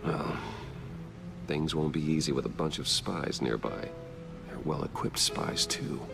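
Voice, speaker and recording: An actor playing a grim, resolute masked vigilante detective in a video game, terse and serious with a gravelly voice. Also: male voice, very deep voice, unhurried, full character voice. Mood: grim, stern. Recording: music behind the voice.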